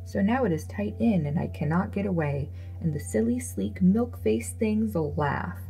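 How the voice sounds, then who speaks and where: A woman acts out a bad-tempered little storybook dwarf man. He scolds and grumbles in a shrill, creaky snarl, full of scorn and ingratitude. Music plays behind him. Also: expressive, measured pace, mellow, poor audio. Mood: peevish complaint. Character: ill-tempered, ungrateful little old dwarf man with a beard. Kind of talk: fairy tale storybook reading.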